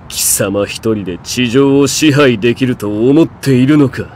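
A man's voice: medium pitch, takes it slowly, a little mellow, slight background noise, natural voice. A man playing an arrogant, smug young ninja villain with a sneer, in an anime video game. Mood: mocking. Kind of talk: Japanese anime game acting. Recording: music behind the voice.

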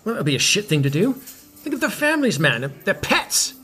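A man's voice: high-pitched, very animated, brisk, pushed and bright, soft background music, heavily acted. An actor in a comedy podcast playing a washed-up middle-aged rock star, boastful and needy, stammering as he rambles matily. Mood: huffy, rambling. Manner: stammering, rambling, faux-matey.

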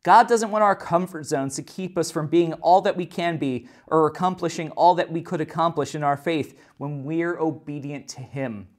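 A man's voice: fairly high pitch, moderate pace, hardly any pauses. A man in his thirties reading and explaining a Bible story in an earnest kids' lesson, speaking at a desk in a church room. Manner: earnest, explanatory, with occasional restarts. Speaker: children's ministry teacher.

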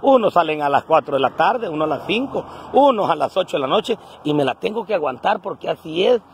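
A man's voice: fairly high pitch, animated, a little fast, a little hiss or hum. A man in his forties with a coastal Guerrero Mexican accent, talking casually and colloquially into his phone in an earthy, salty way. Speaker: vlogger. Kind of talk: casual selfie vlog monologue to camera. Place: outdoors on a roadside, starting indoors in a house. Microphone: phone at arm's length.

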